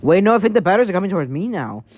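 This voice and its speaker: A man's voice: sits high, sing-song, racing, darkish tone, voice pushed up. A man playing a flustered, easily panicked emergency newsreader in an amateur alert parody, whose formal announcements lapse into rambling. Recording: noisy amateur home recording.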